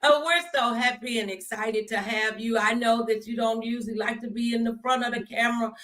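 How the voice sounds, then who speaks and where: High-voiced woman hosting a talk show. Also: steady tone, brisk, a bit bright. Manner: effusive, encouraging, colloquial. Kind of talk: upbeat talk show interview.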